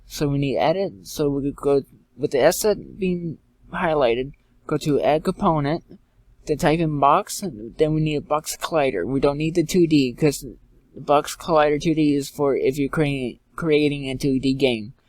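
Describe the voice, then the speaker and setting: A man giving an informal step-by-step software tutorial in a halting way, repeating and correcting himself as he goes. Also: on the high side, no room sound. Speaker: tutorial presenter. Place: indoors, screen-recording voice-over.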